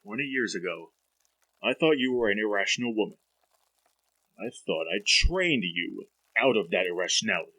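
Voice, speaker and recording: Actor as domineering scientist husband, wildly swinging delivery. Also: male voice, on the low side, lively intonation, normal speed, long pauses, pushed and bright, slightly rough, heavily acted, poor audio. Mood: cold contempt. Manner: domineering, condescending, melodramatic. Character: cold, contemptuous scientist husband unravelling into panic. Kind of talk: 1940s radio drama acting.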